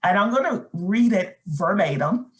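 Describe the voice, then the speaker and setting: A woman's voice: medium pitch, animated, normal speed. A middle-aged woman presenting a webinar workshop, talking conversationally with fillers and restarts while reading chat comments aloud.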